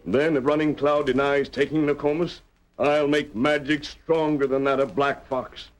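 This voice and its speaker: An actor playing a calm, persuasive masked western hero with a measured, formal manner in a 1940s radio drama. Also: male voice, a little high, natural voice. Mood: assured, persuasive. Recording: noisy vintage radio recording.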